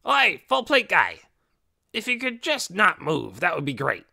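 A brash, cautious goblin warrior from a fantasy audiobook. The voice is sharp and forceful with a gravelly creak. It leaps up and down as he banters in slangy, taunting phrases. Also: high-pitched, wildly swooping pitch, unhurried, pitched above the performer's own voice, full character voice. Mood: wary, firm. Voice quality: hard, creaky.

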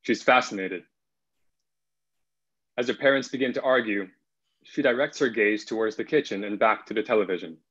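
A man's voice: lowish voice, moderate pace, pauses often, fairly bright tone, slightly rough. A young man speaking as the narrator of a table read over a video call. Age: young adult. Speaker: narrator and actor. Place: plain room at home. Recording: dull video-call audio.

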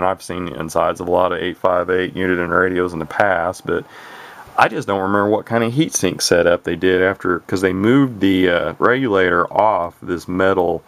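A man's voice: low-pitched, creaky, fairly bright tone. A man casually showing off an old radio on camera, folksy and rambling with lots of fillers and restarts. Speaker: radio hobbyist showing his gear. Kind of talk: casual show-and-tell, off the cuff. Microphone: camera mic, speaker off screen behind the camera.

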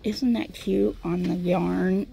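Slow gravelly woman whispering in a thrift store. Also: lowish voice, fairly even intonation, mellow, a little hiss or hum. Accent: Midwestern American. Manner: chatty, often drops to a whisper. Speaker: vlogger. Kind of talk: casual shop-with-me chat. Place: large indoor thrift store. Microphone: handheld phone camera.

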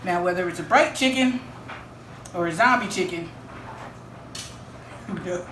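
A woman's voice: on the low side, unhurried. A middle-aged woman chatting to the camera in her home kitchen, playful and rambling, laughing often. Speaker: homesteading vlogger. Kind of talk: casual chat to camera. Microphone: camera a few feet away. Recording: echoey kitchen with clear noise.

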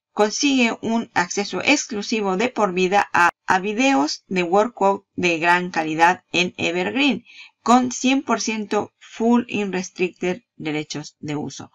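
Chatty woman, gravelly lo-fi voice. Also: mid-range voice. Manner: chatty, laughs at herself, addresses viewer directly. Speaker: marketing YouTuber. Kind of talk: casual screen-share product walkthrough.

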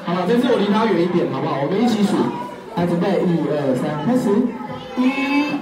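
A young man performing a magic show for children, coaxing and playful, counting out loud with exaggerated energy. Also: high voice, sing-song, a little fast, recorded from a distance. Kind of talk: live magic show for kids. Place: small party room at a cafe, indoors. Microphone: far camera, no mic. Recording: echoey room, recorded from afar. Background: loud music and crowd of kids.

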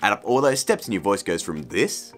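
A man's voice: fairly low pitch, very animated, fairly quick, faint music behind. A middle-aged man presenting a casual scripted tutorial, chatty and jokey. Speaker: video host.

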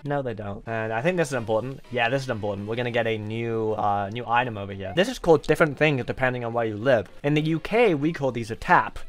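A man's voice: medium pitch, quick pace, hardly any pauses, soft background music. A man hosting a playful gaming stream, commenting on play and reading game text aloud. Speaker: video game streamer, host.

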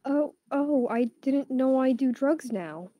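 High, soft-toned, flustered cartoon jester woman acting. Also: expressive, takes it slowly, no echo, full character voice. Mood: confused. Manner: hesitant, flustered. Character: bewildered, anxious small jester woman.

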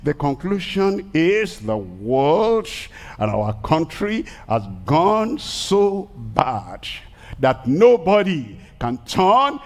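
A man's voice: a little high, big pitch swings, drawn-out words. An older man with a Nigerian accent preaching an emphatic crusade sermon into a headset mic on a large outdoor stage. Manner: emphatic preaching, rising to shouted declarations. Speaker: pastor.

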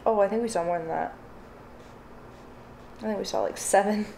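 A woman's voice: medium pitch, normal speed, creaky, warm tone, a little hiss or hum. Blunt young woman, casual livestream chat. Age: young adult. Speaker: livestream host. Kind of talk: casual chat while doing crafts. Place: living room or home craft room.

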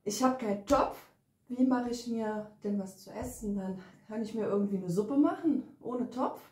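Playful young woman, mellow, echoey room. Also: a little high, normal speed. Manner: playful, teasing questions. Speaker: interviewer and daughter. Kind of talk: casual interview questions. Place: living room at a table.

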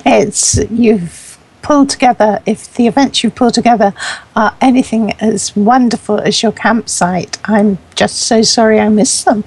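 A woman's voice: on the high side, steady tone, normal speed, darkish tone, slightly rough, low-quality recording. A woman interviewer conducting a friendly event interview in a complimentary, encouraging way. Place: online voice chat in a virtual world.

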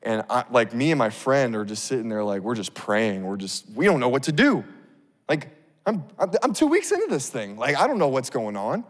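Man, 30s, pastor, animated casual sermon, handheld mic, lingering hall echo. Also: medium pitch, fairly quick. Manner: animated, acts out scenes, casual fillers. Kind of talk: sermon storytelling. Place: large church stage. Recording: long reverb of a big hall.

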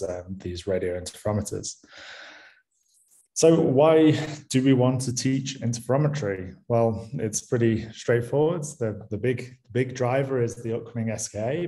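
Mancunian guy lecturing on a video call, lowish mellow voice, lots of ums. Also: rough recording. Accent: Mancunian English. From England, near Manchester. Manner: relaxed, with frequent ums and restarts. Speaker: university lecturer. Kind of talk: conference talk.

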